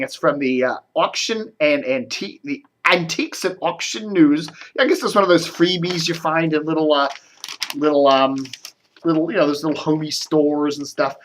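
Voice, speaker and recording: A man's voice: a little high, a bit bright. Rambling American man, noisy home recording. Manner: meandering, distractible, thinking aloud. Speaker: video blogger. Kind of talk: casual rambling chat to camera. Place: indoors at home.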